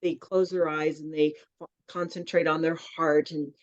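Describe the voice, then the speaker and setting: Lively woman author on a dull line. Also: deep voice, moderate pace. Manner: lively storytelling with many fillers. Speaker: children's book author and guest. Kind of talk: conversational podcast interview. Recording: dull lo-fi audio.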